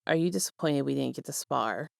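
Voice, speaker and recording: A woman's voice: a bass voice, lively intonation, fairly quick, vocal fry, no echo, studio quality, pitched below the performer's own voice, full character voice. A woman voicing a shy, vulnerable male spirit hybrid in a fan fiction reading, hesitant and nearly whispering.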